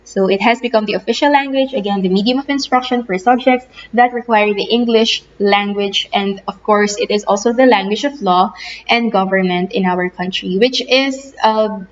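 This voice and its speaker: A woman's voice: mid-range voice, moderate pace, slight background noise. Filipino woman teacher giving a lecture. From Philippines. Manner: explanatory, repeats words while thinking. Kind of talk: recorded online lecture. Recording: slightly dull lo-fi audio.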